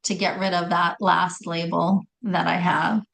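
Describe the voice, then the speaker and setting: A middle-aged woman with a raspy voice telling her personal story in conversation, often stopping to correct herself. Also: fairly low pitch, level tone, a little slow, fairly bright tone. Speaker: guest telling health story.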